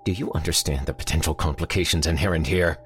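A man voicing a fussy, excitable male scientist doctor who stammers when cornered. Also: very deep voice, fast, slight background noise.

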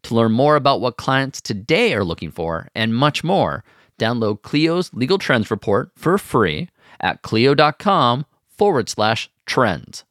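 A man's voice: medium pitch, sing-song, unhurried, a bit bright, no room sound, clean studio sound. A man reading a scripted sponsor spot in an upbeat voice. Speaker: ad spokesman. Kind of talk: scripted ad read.

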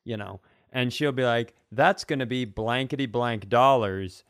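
A man's voice: mid-range voice, measured pace, fairly bright tone, clear, resonant tone, dry recording, studio quality. A man co-hosting a comedy podcast, chatting casually and acting out imagined quotes as he tells stories.

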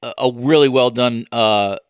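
A man hosting a podcast interview, talking conversationally and probing as he works through halting, mid-sentence restarts. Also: medium pitch, clean tone, no room sound, muffled recording. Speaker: podcast host, sports journalist.

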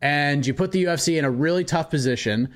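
A man co-hosting a podcast in casual chat, animated and ranting with mock outrage. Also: on the high side, fast. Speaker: podcast co-host.

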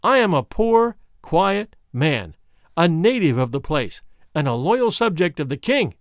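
A man's voice: fairly high pitch, very animated, a little slow, dry recording, clean studio sound. A man reading aloud plays a humble, bewildered villager who pleads and asks anxious questions. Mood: pleading. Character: dismayed, humble ragged middle-aged man.

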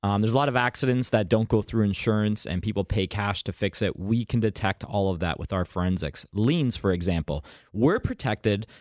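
A man's voice: on the low side, fairly quick, hardly any pauses, a little mellow, dry recording, clean studio sound. A man appearing as a guest on a radio talk show, talking up his car brokering with confident, emphatic sales talk. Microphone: studio microphone.

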